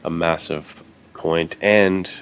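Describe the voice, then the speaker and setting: Very deep, gravelly American man, chatting. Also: fairly even intonation, takes it slowly. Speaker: podcast co-host. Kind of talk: casual chat.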